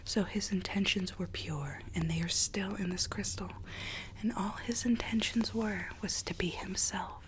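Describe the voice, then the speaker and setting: A woman voicing a conspiring, troubled Alice in an ASMR roleplay, in a hushed breathy whisper with a coaxing, quietly sinister manner. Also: medium pitch, measured pace, a little hiss or hum, natural voice, low-quality recording. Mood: confiding. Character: whispering mad Alice, conspiring captor seeking her true self.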